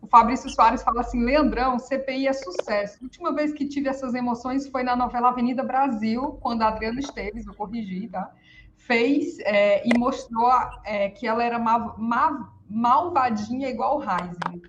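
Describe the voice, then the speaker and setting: A woman's voice: fairly high pitch, moderate pace, lo-fi sound, background noise. A Brazilian woman moderating a live stream, reading viewer comments aloud with playful asides. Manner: playful, laughing asides, hesitant restarts.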